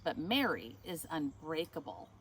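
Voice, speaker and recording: Woman doing storytime reading, voice swings high and low a lot, asks you questions along the way. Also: a little hiss or hum, rough recording. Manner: expressive, pauses to ask the listener questions. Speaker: storyteller reading a picture book aloud. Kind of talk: read-aloud storytime.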